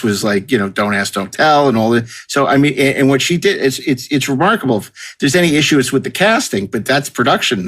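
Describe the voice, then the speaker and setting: A man's voice: medium pitch, fairly bright tone, breathy edge. A man hosting a casual panel discussion, opinionated and conversational in how he talks.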